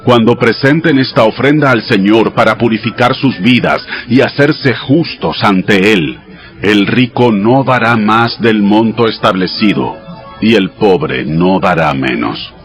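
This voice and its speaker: An actor playing God as a solemn, commanding deity giving sacred laws in a dramatized audio Bible, in a measured manner. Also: male voice, on the low side, natural voice. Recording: voice over clear background music.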